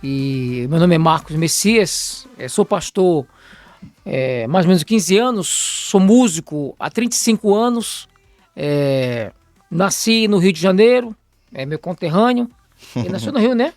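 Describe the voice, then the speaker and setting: A middle-aged Brazilian man from Pará, a pastor and mentor, speaking in a calm conversational way with a measured preaching cadence. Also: high voice, no echo, faint music behind. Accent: Brazilian Portuguese, from Belém do Pará. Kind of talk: calm conversational talk-show guest.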